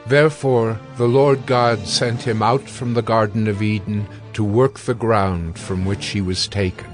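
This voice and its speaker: A man narrating scripture in a slow, steady, deliberate reading. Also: lowish voice. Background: music.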